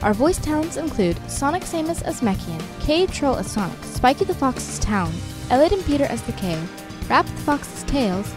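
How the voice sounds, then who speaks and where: A woman announcer reading the credits of an amateur radio drama in a formal, smooth way. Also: high-pitched.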